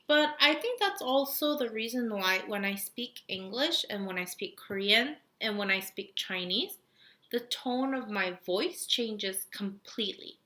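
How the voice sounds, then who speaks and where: A woman's voice: on the high side, animated, pushed and bright. A young woman co-hosting a podcast in casual chat, agreeing often and adding her own thoughts. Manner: supportive listener, quick agreeing interjections. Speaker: podcast co-host.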